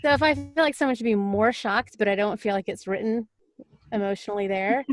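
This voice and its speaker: A woman's voice: medium pitch, a little fast, no echo, studio quality. A woman talking casually and chattily as an audiobook narrator on a panel.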